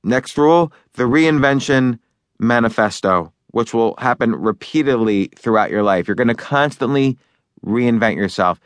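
A man's voice: medium pitch, expressive, moderate pace, dry recording. A man narrating his own book in a loose, conversational way, with fillers and restarts as he talks over each point. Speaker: author and narrator.

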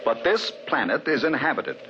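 An actor playing a polite, earnest archaeologist who also narrates, in 1950s radio acting with a dull recording and hiss. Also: male voice, mid-range voice, very animated, moderate pace, sharp tone. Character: earnest, tolerant male archaeologist. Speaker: narrator of the story.

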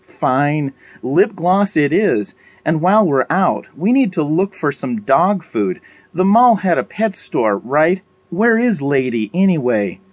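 A man's voice: a little high, very animated, slow, no echo, voice pushed up, heavily acted. A man voicing a practical woman survivor in an audiobook, blunt, bossy and matter-of-fact. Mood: resigned, practical.

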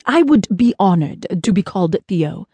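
A woman voicing a tall, courtly gentleman from space in an audiobook, gracious and formal in manner. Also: sing-song, normal speed, husky, no echo. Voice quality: high-pitched.

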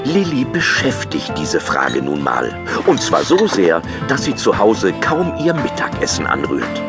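A man's voice: low voice, huge pitch swings, unhurried, over music. A man reading scripted narration for a children's audio drama.

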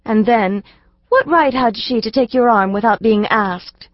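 An earnest, sensitive little girl, as a woman reader puts her on, with a lifted, eager voice. She sounds pleading and quick to protest. The recording is dry and a little dull. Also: a little high, dry recording, pitched above the performer's own voice, close to an everyday voice. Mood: indignant.

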